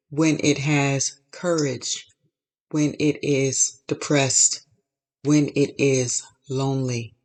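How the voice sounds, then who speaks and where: Slow, measured woman preaching on a podcast. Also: low voice. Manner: measured, repetitive preaching cadence. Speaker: podcast host. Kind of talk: inspirational faith talk.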